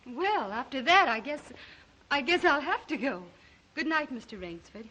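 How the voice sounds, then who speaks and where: A woman's voice: high voice, very animated, normal speed, full character voice. A woman playing a poised, wary young sister who hides her fear behind polite, guarded speech, in old dramatic style. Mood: politely bright. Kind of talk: old film-era dramatic acting. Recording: dull old recording, loud music behind.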